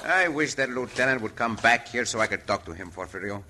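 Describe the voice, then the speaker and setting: An actor playing a bold, urgent cowboy hero, in old-time radio adventure style. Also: male voice, mid-range voice, animated, brisk, pushed and bright, reverberant, a little hiss or hum. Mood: tense.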